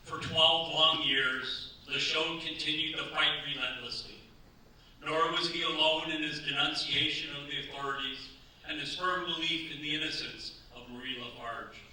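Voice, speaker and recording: A grave male narrator, played by an actor in a staged radio play. His voice is slightly high and very bright, heard at a distance with heavy echo. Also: steady tone, normal speed. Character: grave male narrator of true crime tragedy. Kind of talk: dramatic scripted narration. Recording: echoey hall, distant mic, faint noise.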